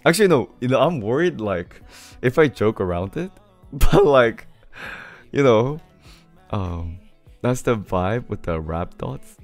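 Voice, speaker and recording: A young man with a low voice chatting casually on a livestream, reading comments, laughing often and full of fillers. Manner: laid-back, laughs often, many fillers. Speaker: streamer. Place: small home room with keyboard. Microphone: studio mic on arm near face.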